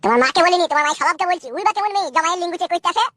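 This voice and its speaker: A man's voice: extremely high pitch, racing, heavy background noise. A man voicing an indignant, boastful young village son-in-law in a bawdy cartoon. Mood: cocky threatening. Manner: cocky, protesting. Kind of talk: bawdy cartoon comedy acting.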